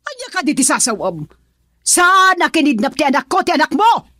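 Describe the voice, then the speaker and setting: A woman's voice: high-pitched, big pitch swings, fairly quick, sharp tone, no room sound, studio quality, heavily acted. A woman playing a defensive, quarrelsome mother in an Ilocano radio drama, exclamatory and argumentative as she stands up for her son.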